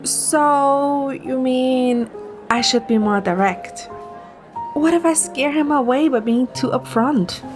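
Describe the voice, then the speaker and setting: High-voiced, tipsy, stubborn young woman played by a woman. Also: takes it slowly, music in the background. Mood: unsure. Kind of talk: romantic audio drama acting with first-person narration.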